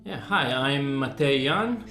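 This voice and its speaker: A man from Slovenia, a pixel artist, giving relaxed, chatty interview answers. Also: mid-range voice, slow pace. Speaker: pixel artist and game developer. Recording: roomy sound with music underneath.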